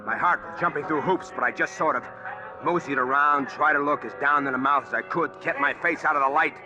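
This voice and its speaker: A man's voice: on the high side, brisk, dull audio, lightly acted. Actor as an earnest, desperate man, music behind. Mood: confiding, brooding. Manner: earnest, tense, confiding. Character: desperate, obsessed man narrating his hunt for truth. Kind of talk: 1940s radio acting. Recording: old radio drama with music.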